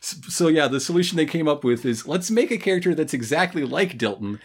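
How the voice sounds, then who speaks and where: A woman podcast co-host with a fairly low voice and very lively intonation. She recaps in a casual, comedic style, dropping jokey asides and puns as she goes. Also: a little fast, breathy edge.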